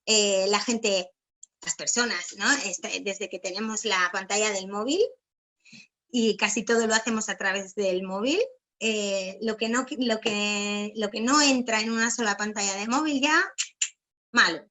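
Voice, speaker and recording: A woman's voice: mid-range voice, fairly even intonation, a little slow, sharp tone. A woman from Barcelona with a peninsular Spanish accent, presenting an informal online writing workshop as a philologist, explaining things and often checking for agreement with little tag questions. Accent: Spanish from Spain. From Barcelona, Spain.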